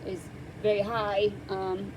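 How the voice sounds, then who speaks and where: A woman hosting a casual tarot card reading, soft-spoken and hesitant, with many pauses and fillers, close to the microphone. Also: medium pitch, flat delivery, background noise.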